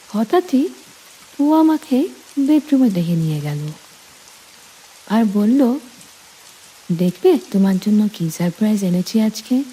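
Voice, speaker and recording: A woman narrating a scripted romantic story in the first person, deliberately, in short phrases. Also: mid-range voice, warm tone, slight background noise, poor audio.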